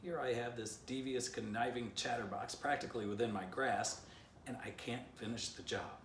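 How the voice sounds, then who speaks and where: A man voicing an excitable, scheming storybook dog who pleads and grumbles in exasperation, obsessed with catching a squirrel. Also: mid-range voice, moderate pace, natural voice. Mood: exasperated. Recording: roomy, distant mic, faint noise.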